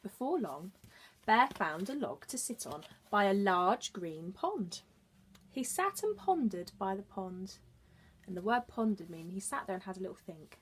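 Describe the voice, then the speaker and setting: A woman's voice: mid-range voice, big pitch swings, a little slow, breathy edge. A woman teacher reading a children's storybook expressively, adding explanatory asides for young listeners. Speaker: early years teacher reading aloud.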